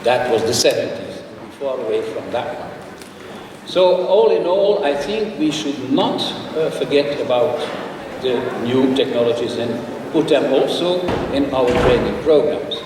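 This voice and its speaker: An older man delivers a formal address in a large conference hall. He speaks in a measured, slightly halting way, with learned asides. The sound is far from the mic and washed in long reverb, with steady noise behind it. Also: mid-range voice. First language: Dutch. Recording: echoey hall, picked up from afar, with noise.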